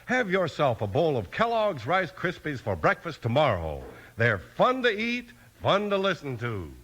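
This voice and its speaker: A man's voice: on the high side, wildly swooping pitch, moderate pace, fairly bright tone, a little hiss or hum. An American man announcing and narrating a 1950s radio show in an emphatic, theatrical style. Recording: vintage radio transcription. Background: music.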